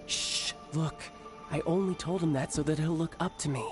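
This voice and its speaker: A man's voice: a little high, moderate pace, natural voice. A man voicing a cocky, playful young male warrior in a game cutscene, teasing and mock-formal, with music behind. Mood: sly. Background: background music.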